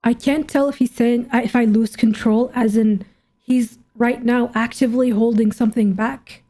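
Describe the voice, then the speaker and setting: A woman's voice: fairly high pitch, fairly even intonation, moderate pace, dark tone. A woman streamer giving casual game commentary, thinking aloud in a musing, questioning, informally sweary way. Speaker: gaming streamer reacting to game story.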